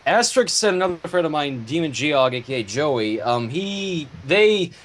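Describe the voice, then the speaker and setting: A man's voice: a little high, animated, moderate pace. A man chatting casually on a livestream over an online voice call. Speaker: animator and livestream guest.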